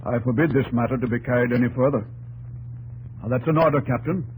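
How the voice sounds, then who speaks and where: Actor as grave, resolute president, noisy old radio. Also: male voice, mid-range voice, darkish tone, close to an everyday voice. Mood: commanding. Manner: deliberate, emphatic, formal. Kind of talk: 1950s radio drama acting.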